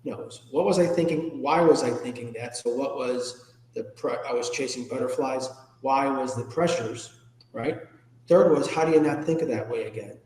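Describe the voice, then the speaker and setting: A man's voice: medium pitch, normal speed, a little mellow. An American man giving candid, informal, reflective answers as a podcast guest. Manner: candid, informal, occasionally salty. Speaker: podcast guest, ethics trainer and public speaker. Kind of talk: reflective personal interview answers. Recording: echoey room with long reverb.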